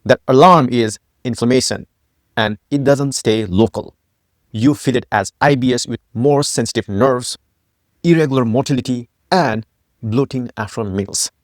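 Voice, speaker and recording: A man's voice: medium pitch, normal speed, dry recording, clean studio sound. A man from Nepal, founder and host of a health channel, giving a scripted educational narration with emphatic rhetorical questions.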